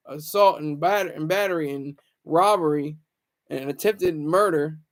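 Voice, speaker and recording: A man hosting a casual livestream, talking to viewers in a rambling way and repeating phrases for emphasis. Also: sits high. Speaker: livestream host.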